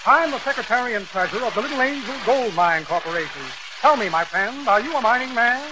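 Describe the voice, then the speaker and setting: Pompous flimflam windbag, high-pitched, florid, repeating himself, vintage radio comedy with noisy rumble. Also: male voice, lively intonation, fast talker. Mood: grandly jovial. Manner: pompous, florid, muttering repetitions. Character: pompous flimflamming stock promoter, blustery jovial old windbag. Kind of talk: 1930s-40s radio comedy acting. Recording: noisy old radio recording, vehicle rumble.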